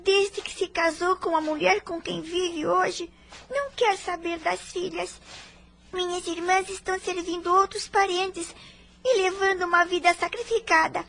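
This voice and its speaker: A woman's voice: extremely high pitch, creaky, a bit bright, full character voice. A woman voicing a sad, humble twelve-year-old orphan servant girl in a radio drama, in a plaintive, childlike way.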